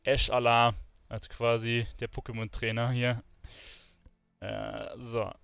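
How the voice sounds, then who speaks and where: A man's voice: medium pitch, little pitch movement, a little slow, gravelly, fairly bright tone, clean tone, no room sound. A man streaming a video game, thinking aloud in informal commentary sprinkled with English gamer slang. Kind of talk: casual live gaming commentary.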